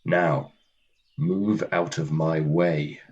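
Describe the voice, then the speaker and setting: Man acting as Death, low, slow and husky. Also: muffled recording, heavily acted. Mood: curt, annoyed. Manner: deadpan, curt, formal, precise. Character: Death, weary, stern, gentlemanly and easily irritated. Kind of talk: radio play acting.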